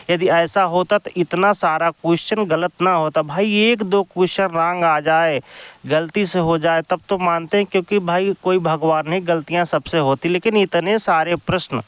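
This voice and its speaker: A man's voice: high voice, fairly bright tone, clear, resonant tone, dry recording. A man giving an informal explainer to viewers, going step by step with repetitive phrasing. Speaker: exam news YouTuber.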